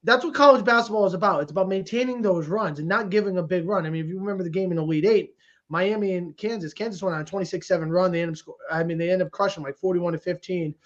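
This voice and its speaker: A high-voiced man races through casual sports talk as a podcast co-host. He is emphatic and exclamatory, often repeating himself to drive a point home. The sound is slightly dull and lo-fi. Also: fast talker.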